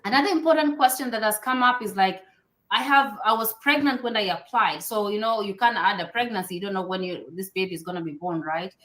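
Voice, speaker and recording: A woman's voice: mid-range voice, fast talker, a bit bright, roomy sound. A woman hosting a live stream, chatting casually and giving advice, with a laugh and many fillers.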